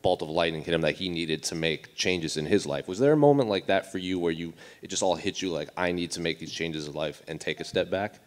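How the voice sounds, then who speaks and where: Male reporter, deep voice, brisk delivery, conversational questioning.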